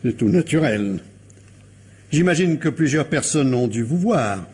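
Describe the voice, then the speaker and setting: An actor playing a shrewd, insistent police commissioner who questions a suspect with courteous, insinuating pressure, in a French radio crime drama. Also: male voice, mid-range voice, animated, measured pace, a little mellow, breathy edge. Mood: probing, courteous.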